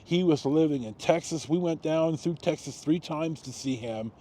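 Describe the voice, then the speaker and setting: A man in his sixties with an American accent, telling personal stories casually, in a halting, rambling way with frequent pauses and repetitions. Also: a little high, dark tone, slight background noise. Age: 60s. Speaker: storytelling vlogger.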